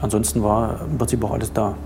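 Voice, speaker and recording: A man speaking as a police investigator in a documentary interview, halting and matter-of-fact, in official wording. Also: fairly low pitch, fairly even intonation. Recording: music laid under interview.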